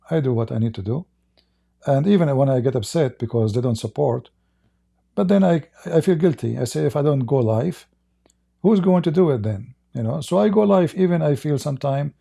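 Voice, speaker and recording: A male host answers a caller on a live show with firm, deliberate emphasis. His voice is very dark and mellow at a middle pitch, with a gravelly fry running through it.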